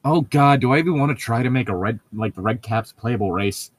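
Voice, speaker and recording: A man's voice: mid-range voice, a little fast, dry recording. A man casually joking with friends and reading a novel aloud as a podcast host on a voice call. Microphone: close mic on an online voice call.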